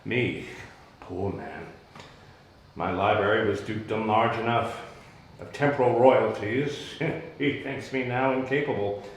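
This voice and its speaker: Man acting a wronged exiled duke, bitter, commanding, Shakespearean stage delivery, very slow, echoey room. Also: lowish voice, slight background noise. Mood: scornful, aggrieved. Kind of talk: Shakespearean dramatic reading.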